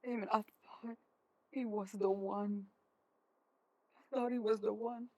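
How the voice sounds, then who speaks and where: A woman playing a worried, guilt-ridden mother in a stage play, pleading and halting. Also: mid-range voice, steady tone, a little mellow, highs cut off. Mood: regretful, distraught.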